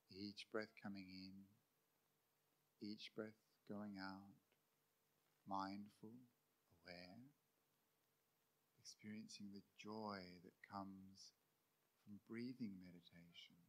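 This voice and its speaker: A man who teaches meditation, guiding a sitting practice calmly and gently, in short phrases with long pauses. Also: lowish voice, rough recording. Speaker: meditation teacher, Buddhist monk. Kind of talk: guided meditation.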